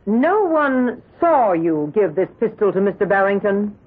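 An actress playing a stern, sceptical prosecutor with pointed, insistent questioning in a 1970s radio drama. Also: female voice, mid-range voice, sing-song, a little slow. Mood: sceptical, probing. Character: stern, sceptical female prosecutor cross-examining a witness. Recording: muffled lo-fi 1970s radio recording.

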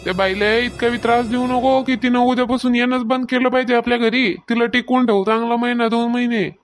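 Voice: medium pitch, fairly even intonation, a little fast, soft background music, lightly acted. An actor voicing a grumbling, sardonic husband in a cartoon comedy, speaking colloquial Varhadi Marathi. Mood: chiding. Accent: Varhadi Marathi, rural Maharashtra.

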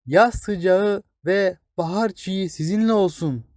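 A man's voice: high voice, measured pace, a little mellow, dry recording, studio quality, voice pushed up. A man voicing a small, brave, hopeful blade of grass in a children's fairy tale, with an earnest, determined manner. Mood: friendly.

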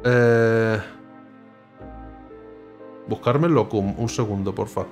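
A young man playing a story game, dropping casual joking asides that trail off quietly. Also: lowish voice, fairly even intonation, slow pace, faint music behind. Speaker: gaming streamer. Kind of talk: let's play commentary.

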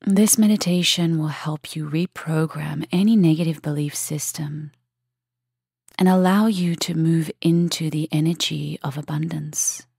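A woman with a low, husky voice leads a guided meditation, often sinking toward a whisper. Her words come softly and gently, with long pauses and plenty of gravelly fry. The sound is close and very dry. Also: steady tone, no echo. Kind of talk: guided meditation, soft and soothing delivery.

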